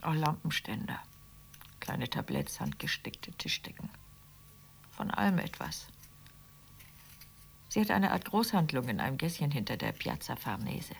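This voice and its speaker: Radio play wife: chatty, middle-class would-be writer, low and creaky, slight background noise. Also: female voice, huge pitch swings, a little slow, long pauses, heavily acted. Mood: chatty, gossiping. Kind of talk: radio play dialogue acting.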